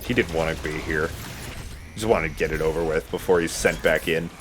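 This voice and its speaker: A man's voice: extremely low pitch, fairly quick, background noise. A man giving casual, chatty, self-deprecating commentary while he plays a video game as host. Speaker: let's play host playing the game.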